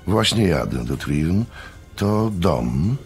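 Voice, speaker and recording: A measured storyteller in a radio play. His voice sits extremely low, with a gravelly creak. He recounts his tale with literary care and mild scepticism. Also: big pitch swings, darkish tone, faint music behind, exaggerated voice. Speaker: narrator.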